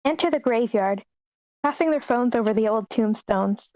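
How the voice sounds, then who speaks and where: A woman reads screenplay narration aloud in a fairly high voice. The sound is dull and close, like a muffled online meeting with little room echo. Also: normal speed, a little mellow. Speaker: reader of stage directions at an online table read.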